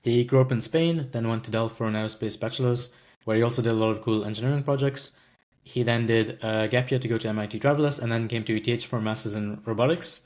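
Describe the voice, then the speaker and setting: A man hosting an interview podcast, asking questions in a relaxed, slangy, conversational way full of fillers. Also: medium pitch, quick pace. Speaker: podcast host. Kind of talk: casual interview.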